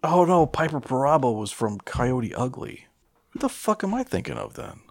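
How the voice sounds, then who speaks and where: A man's voice: medium pitch, sing-song, normal speed, dry recording, studio quality. A man co-hosting a podcast, bantering casually about movies in slangy, foul-mouthed talk. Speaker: podcast co-host.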